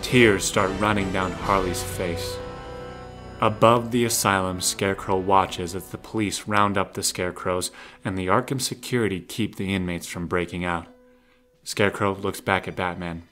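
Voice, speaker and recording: Male narrator for audio drama, low register, scripted scene description over a light music bed. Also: fairly even intonation, normal speed, fairly bright tone.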